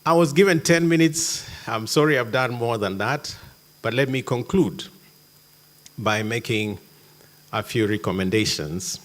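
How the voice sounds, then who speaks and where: A man giving a conference talk in a hall, measured with sudden emphatic jumps. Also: mid-range voice, fairly bright tone. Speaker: conference speaker.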